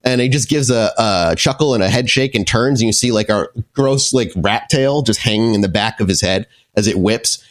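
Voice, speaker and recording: A man's voice: fairly low pitch, normal speed, hardly any pauses. A man narrating a tabletop horror game as game master, improvising wordy scene descriptions with casual asides.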